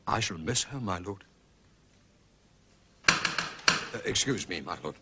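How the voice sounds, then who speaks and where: An actor playing a deferential, unflappable English manservant in a period radio drama, speaking formally with measured, discreet politeness. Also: male voice, deep voice, expressive, over loud music. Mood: polite.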